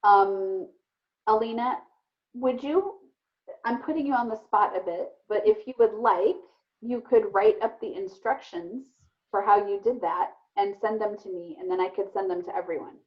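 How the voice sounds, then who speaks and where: Woman, elementary teacher on a dull-sounding video call; medium pitch, informal and encouraging with kids. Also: moderate pace. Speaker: elementary teacher hosting an online read-aloud. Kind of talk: casual, encouraging talk to children over video call. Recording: muffled, band-limited video call audio.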